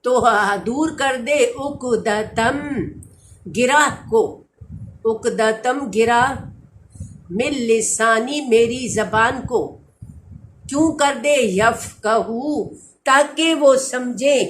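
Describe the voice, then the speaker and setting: Female lecturer, slightly high voice, unhurried and patient, repeats words as she explains, faint background noise. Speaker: teacher. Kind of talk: slow Quranic grammar lecture.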